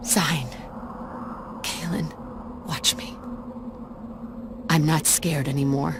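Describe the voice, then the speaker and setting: A woman's voice: sits low, steady tone, takes it slowly. A woman acting a haunted, determined warrior in a video game, curt and intense with a defiant edge. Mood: resolute, reflective. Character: embittered, determined female warrior, haunted but resolute. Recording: clear background noise and ambience.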